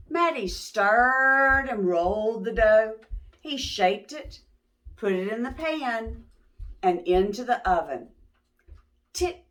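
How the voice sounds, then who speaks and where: Female storytime reader for preschoolers: higher-pitched, unhurried, expressive, engages listeners with questions. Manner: expressive, pausing to ask young listeners questions. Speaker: children's read-aloud host. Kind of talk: animated picture-book storytelling for young children.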